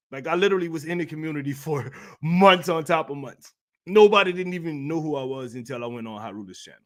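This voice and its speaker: A man's voice: a little high, a bit bright. Playful, slangy man rambling on a livestream. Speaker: YouTube host of a gaming community channel. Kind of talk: casual livestream chat answering viewer questions.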